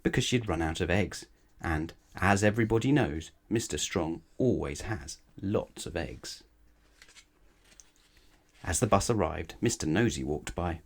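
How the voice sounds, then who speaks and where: A man with a low voice reads a picture book aloud for children. His delivery is expressive, in the manner of a bedtime storyteller. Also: a little slow. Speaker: storybook reader.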